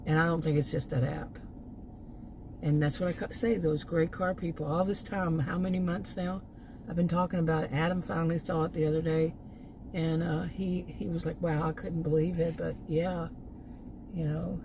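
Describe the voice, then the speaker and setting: Female, American, lowish voice, exasperated off-the-cuff vlog rant, noisy background. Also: fairly even intonation, normal speed. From Texas, United States. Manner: exasperated, chuckles between remarks. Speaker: food delivery gig worker, vlogger. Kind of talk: casual rambling vlog rant.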